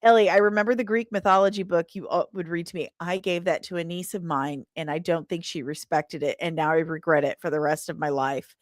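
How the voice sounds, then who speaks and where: A woman hosting a casual livestream, talking in an animated, rambling and self-deprecating way. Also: on the low side, brisk, few pauses, no echo, studio quality. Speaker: livestream host.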